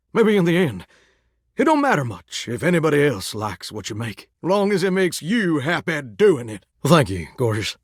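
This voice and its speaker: A man's voice: on the high side, no room sound, clean studio sound. A man with a Southern US accent voicing a folksy, earnest, starry-eyed singing shower in a dating game, drawling homespun sayings. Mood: hopeful, grateful. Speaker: voice actor in a dating sim game. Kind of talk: expressive video game character dialogue.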